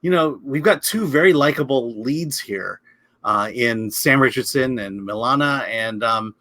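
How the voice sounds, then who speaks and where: A man's voice: mid-range voice, a little slow, sharp tone, no room sound. A man chatting casually as a film critic, speaking hesitantly and often repeating his words as he reviews.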